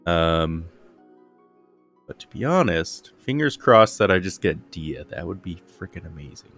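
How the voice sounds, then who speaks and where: A man's voice: low voice, sing-song, a little slow, fairly bright tone. A man giving casual gameplay commentary as the host of a gaming video. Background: game music.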